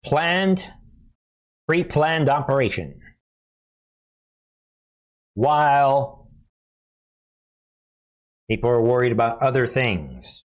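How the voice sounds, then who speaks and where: A man's voice: medium pitch, sing-song, very slow, pauses often. A man hosting an informal commentary show, speaking to viewers in short, preachy, alarmed phrases with sarcastic asides. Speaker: host and commentator.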